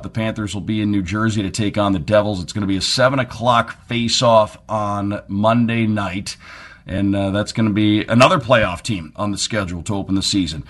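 A man's voice: lowish voice, fairly bright tone. A man hosting a sports radio show in a chatty, conversational and emphatic way, mixing commentary with promotional reads. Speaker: sports radio show host and team broadcaster.

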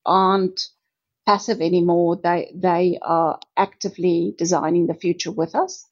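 A woman from South Africa with a South African accent, a guest speaking enthusiastically in a podcast interview with thoughtful pauses. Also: on the low side, steady tone, a little mellow, slightly rough, highs cut off. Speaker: guest, energy executive.

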